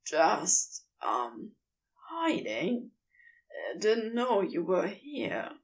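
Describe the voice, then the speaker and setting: Hushed nervous guy hiding voiced by a woman, low and shaky, lots of ums. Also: wildly swooping pitch, drawn-out words, recorded from a distance, full character voice. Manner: hesitant hushed whisper with fillers. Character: wary young man hiding, whispering nervously.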